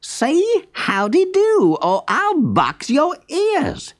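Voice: sits high, huge pitch swings, drawn-out words, voice pushed up, heavily acted. A storyteller voicing a cheeky trickster rabbit, male, in a theatrical, exclamatory way with stretched-out words, in a children's storybook tale. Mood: insulted. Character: cheeky trickster male rabbit, indignant, mock-pleading, gleeful.

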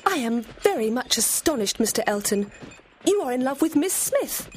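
An actress playing a proud, frank young gentlewoman in a dramatised period audiobook, speaking formally and emphatically. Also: high-pitched, sing-song, normal speed, slight background noise. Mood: indignant.

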